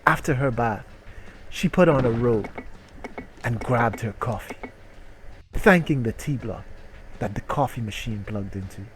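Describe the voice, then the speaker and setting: A man's voice: medium pitch, unhurried, long pauses, noisy background. A man hosting a comic dramatic reading of a short story, with mock-serious narration that can break into incredulous outbursts. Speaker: host reading stories.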